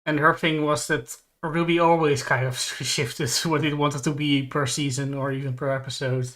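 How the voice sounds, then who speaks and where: A man's voice: a little high, flat delivery, normal speed, a bit bright. A man chatting casually as a co-host, putting in short asides. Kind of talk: casual chat.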